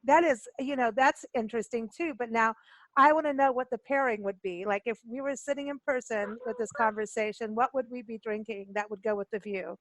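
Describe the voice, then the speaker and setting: A woman's voice: fairly high pitch, brisk, dry recording, low-quality recording. A woman co-hosting a radio talk show in a chatty, effusive way, repeating words for emphasis. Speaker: radio show co-host and travel publisher.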